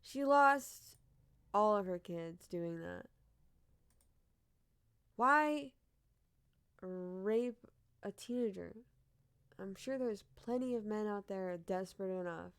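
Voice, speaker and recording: A young woman with a deep-sounding voice, chatting casually on a live stream as she reads odd news headlines, blunt and swearing with frequent laughs. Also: slow, long pauses, smooth.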